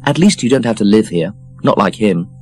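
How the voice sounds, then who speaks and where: A man voicing an earnest, hopeful, gently indignant young woman in an audiobook. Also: fairly low pitch, lively intonation, brisk, slightly rough, faint music behind. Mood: reproachful. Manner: earnest, protesting. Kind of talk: audiobook character voice.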